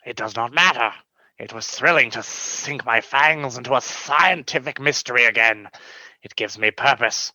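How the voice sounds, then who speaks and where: An actor playing a proud fanged alien scientist in a comedy radio play, speaking in formal, deliberate phrases. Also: male voice, medium pitch, sharp tone, no room sound, rough recording. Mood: exhilarated.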